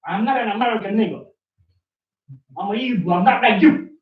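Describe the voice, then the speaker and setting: A man's voice: high voice, a little fast. A man rants with fire and insistence, hammering the same points again and again. He sounds far from the camera, so his voice comes through distant and echoey. The recording of the small room is dull and muffled. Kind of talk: impassioned rant. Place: small study room with bookshelves, indoors.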